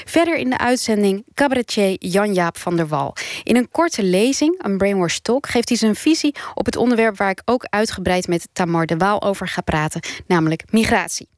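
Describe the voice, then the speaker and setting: A woman's voice: mid-range voice, animated, normal speed, no echo, studio quality. A woman with a Netherlands Dutch accent giving a scripted programme introduction as a radio presenter.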